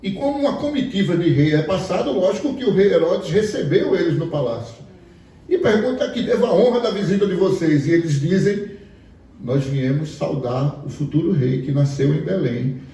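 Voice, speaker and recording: Distant, echoey preacher with a Pernambuco accent. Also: male voice, a little high, expressive, normal speed, dark tone. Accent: Pernambuco Portuguese. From Pernambuco, Brazil. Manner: storytelling, asks the audience questions. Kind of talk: sermon teaching a congregation. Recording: echoey hall, voice from afar.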